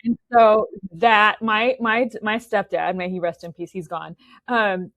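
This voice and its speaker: A woman's voice: medium pitch, fairly even intonation, measured pace, a bit bright, no room sound. A woman in her forties from Romania, talking casually as an interview guest, restarting sentences and tagging them with "okay?". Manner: chatty, many restarts and "okay?" tags.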